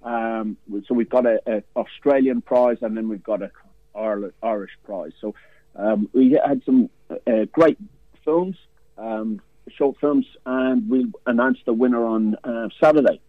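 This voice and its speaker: A man speaks down a thin, tinny phone line, his voice dry and close. He organizes a film festival and talks haltingly, stopping and restarting often. His pitch sits in the middle range. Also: dry recording. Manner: halting, many pauses and restarts. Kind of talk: radio interview by phone.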